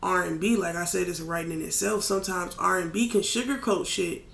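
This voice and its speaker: A man with a raspy, gravelly voice that sits fairly high for a man. He talks in a loose, candid way, as a podcast guest chatting about his craft. The sound carries an echoey room around it. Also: a little fast. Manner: relaxed, candid, loose with filler words. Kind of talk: casual chat.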